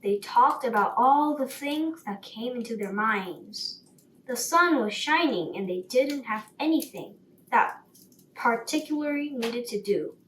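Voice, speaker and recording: A woman with a fairly high voice reads a bedtime story at a very slow pace. She sounds some way from the microphone in a roomy space, with faint noise. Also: far from the mic.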